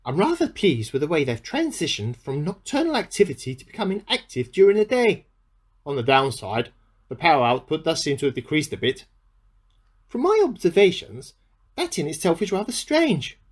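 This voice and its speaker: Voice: medium pitch, sing-song, normal speed, slightly rough. An actor in a radio play playing a bemused amateur scientist who keeps a spoken diary, musing aloud in an earnest, slightly formal and self-questioning way. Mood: puzzled, matter-of-fact. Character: bemused amateur scientist keeping an audio diary, increasingly suspicious.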